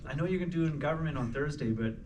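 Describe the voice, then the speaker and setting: A man introducing himself as a company president on a panel, relaxed with dry humor. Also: a little high, racing. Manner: relaxed, self-deprecating humor. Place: conference panel stage. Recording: distant noisy room mic.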